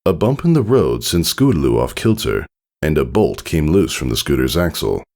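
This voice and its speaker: A man reading a scripted story aloud as an expressive narrator. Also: extremely low pitch, moderate pace, gravelly. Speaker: fan fiction reader.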